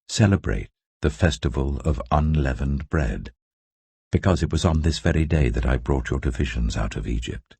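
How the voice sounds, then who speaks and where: A man giving a scripted, solemn and deliberate reading of scripture as a narrator, with emphatic phrasing. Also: rumbling low, creaky, dark tone, breathy edge, no echo. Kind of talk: scripted audio Bible reading.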